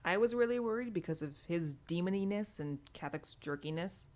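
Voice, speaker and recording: A woman narrates a piece of fiction as an audiobook. Her voice sits a little on the low side, and she reads in the first person. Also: expressive, fairly quick, darkish tone. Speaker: audiobook reader.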